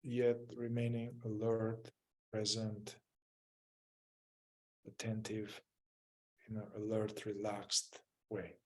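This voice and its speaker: A man leading a guided mindfulness meditation as a teacher, gently and without hurry, leaving long pauses between short phrases. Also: on the low side, completely flat delivery, rough recording.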